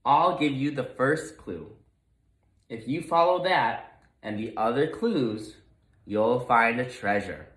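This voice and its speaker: A man's voice: mid-range voice, lively intonation, slow pace, fairly bright tone. Man voicing an instructive female park ranger, echoey. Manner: announcing, instructive. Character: female park ranger explaining treasure hunt rules.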